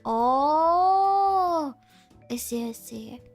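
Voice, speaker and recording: A woman's voice: high-pitched, expressive, drawn-out words, soft background music. A young woman, a native Spanish speaker with an American Valley girl accent, chatting casually with her stream and reading chat, giggly and full of "like". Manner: chatty, filler-heavy "like", giggly, bubbly.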